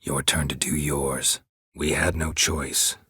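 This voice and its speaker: A male actor playing a stoic, world-weary monster hunter in a video game, terse and deadpan, with a low gravelly rasp. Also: takes it slowly, no room sound, clean studio sound, exaggerated voice. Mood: deadpan, businesslike. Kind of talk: video game character dialogue.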